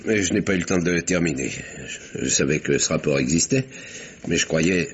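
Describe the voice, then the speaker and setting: An affable uncle speaks in a low voice, hesitant and easily flustered, trailing off as he goes. It is a vintage French radio mystery, dull in sound with faint noise. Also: normal speed.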